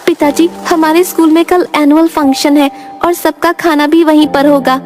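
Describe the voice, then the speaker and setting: Woman voicing a high-pitched sweet little girl. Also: fairly even intonation, normal speed, noisy background, lightly acted. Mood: cheerful. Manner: childlike, earnest. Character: sweet little village girl, pleading daughter.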